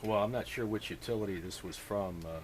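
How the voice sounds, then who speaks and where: A man's voice: on the low side, fairly quick, a little hiss or hum. A man chatting casually and technically as an amateur radio operator on two-way radio, thinking aloud as he goes.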